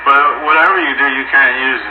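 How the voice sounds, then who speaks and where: A man's voice: mid-range voice, quick pace, muffled recording. Man on a noisy, echoey radio link. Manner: colloquial, folksy speech. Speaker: amateur radio operator. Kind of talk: casual radio chat.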